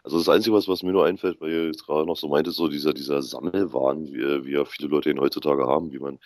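A man's voice: rumbling low, steady tone, quick pace, a little mellow, dry recording, studio quality. A man reminiscing in a casual chat as a guest on a podcast.

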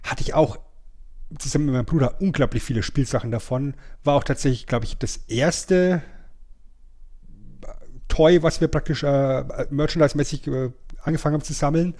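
A man's voice: on the high side, moderate pace, darkish tone. A middle-aged man chatting casually as a podcast co-host. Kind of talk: casual chat, ranking old cartoons.

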